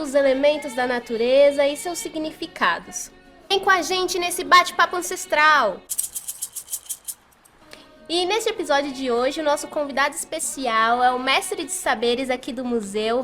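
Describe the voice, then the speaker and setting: A woman from Brazil hosting a podcast interview, asking her guest friendly, measured questions. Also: high-pitched, bright, forceful tone. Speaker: podcast host.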